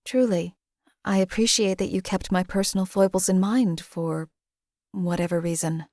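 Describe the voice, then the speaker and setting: A woman voicing a cold, detached female assassin in a game, speaking in a measured, formal, matter-of-fact way. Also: mid-range voice, steady tone, a little mellow, no echo, studio quality, lightly acted. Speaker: game companion character. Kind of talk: voiced game companion lines.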